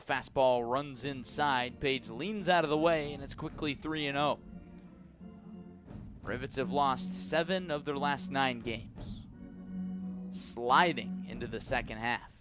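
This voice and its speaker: Guy doing live baseball radio commentary, medium pitch, punchy calls then steady filler, music playing behind. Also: slow pace. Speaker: baseball play-by-play announcer.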